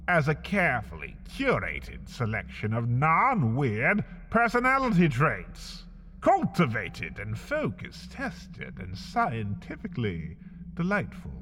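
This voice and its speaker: A man reading a scripted comedic story in the second person, wry and slow, with big swings in his voice. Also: sits high, a bit bright, big-room sound, a little hiss or hum. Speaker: narrator.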